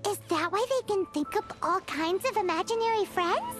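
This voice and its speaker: Very high-pitched childlike fairy companion. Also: female voice, lively intonation, moderate pace, music in the background. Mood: curious, eager. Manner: refers to self in third person, exclamatory. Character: tiny childlike fairy companion girl, chirpy and inquisitive. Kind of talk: video game cutscene voice acting.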